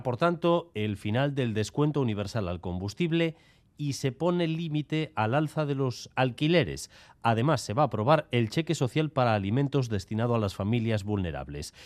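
A man anchoring a radio news bulletin, reading the headlines in a measured, formal way. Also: mid-range voice, a little mellow. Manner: measured, formal newsreader delivery.

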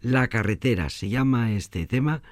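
A man's voice: mid-range voice, normal speed, dry recording, clean studio sound. A man presenting a radio music show in a chatty, casual way, with small restarts.

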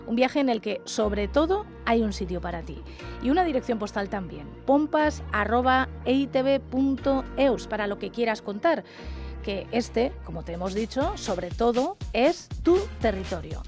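A woman's voice: fairly high pitch, animated, normal speed, darkish tone. A woman presenting a scripted literary radio programme as its host. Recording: music playing behind the voice. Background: background music.

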